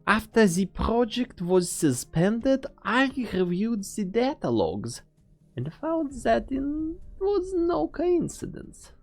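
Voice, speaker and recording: A woman's voice: on the high side, big pitch swings, slow, a little mellow, soft background music, heavily acted. A woman playing a sly, taunting, coldly amused scientist in an English fan dub, with a teasing, theatrical delivery.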